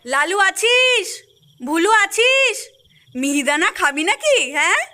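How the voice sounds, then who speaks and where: A woman's voice: very high voice, very animated, slow, sharp tone, full character voice. A woman voicing a shrewd, coaxing village woman in a cartoon, with a teasing, exclamatory delivery. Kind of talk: cartoon voice acting.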